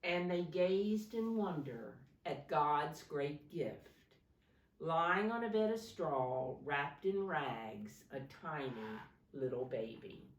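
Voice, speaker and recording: Slow, low-voiced woman reading a children's storybook. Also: lively intonation. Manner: slow, deliberate, pausing to ask young listeners questions. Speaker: teacher reading a picture book to preschoolers. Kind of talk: expressive children's storybook read-aloud. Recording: roomy echo, distant microphone.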